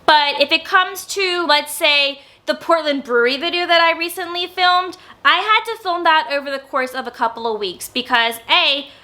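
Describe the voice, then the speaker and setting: A young woman in a casual sit-down vlog, talking loudly and openly, with some stammering and lots of filler words. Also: sits high, normal speed, few pauses. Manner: naturally loud, with stammers and many filler words. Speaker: YouTuber. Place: indoors, sit-down filming setup.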